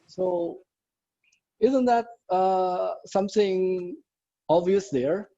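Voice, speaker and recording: Slow-spoken man. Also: high-pitched, big pitch swings, gravelly, dark tone. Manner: slow, deliberate, with simple plain phrasing. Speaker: prepared speaker. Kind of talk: prepared club speech, personal storytelling. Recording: muffled lo-fi audio.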